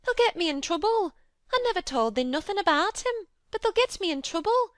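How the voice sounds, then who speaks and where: A woman voicing a flustered young Yorkshire maid, kindly and fearful, speaking broad dialect in a high, anxious voice. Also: sing-song, moderate pace, a bit bright, no room sound, studio quality.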